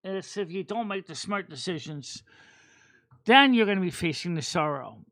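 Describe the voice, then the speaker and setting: A man hosting a casual chat stream about tarot cards, talking in a rambling, halting way with many fillers and restarts. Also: high-pitched, lively intonation, a bit bright, no room sound. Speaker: host.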